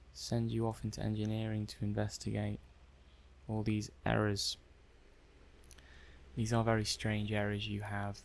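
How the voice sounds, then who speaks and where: Man acting a low, creaky-voiced curious lab technician. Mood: puzzled, methodical. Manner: hesitant, musing, thinking aloud while taking notes. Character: curious male robot-testing technician, methodical and intrigued. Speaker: roleplay performer. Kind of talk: ASMR roleplay, soft-spoken improvised monologue.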